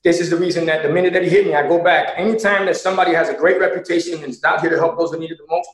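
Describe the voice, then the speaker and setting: A man's voice: on the high side, fairly even intonation, very fast, roomy sound, low-quality recording. A man guest speaking earnestly and motivationally, mixing in Spanish phrases. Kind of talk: casual chat turning to earnest appeal.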